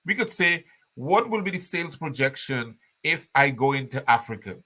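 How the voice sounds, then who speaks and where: Bright-voiced man, older. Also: mid-range voice, moderate pace. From Trinidad. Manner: animated storytelling, frequent restarts. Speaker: guest.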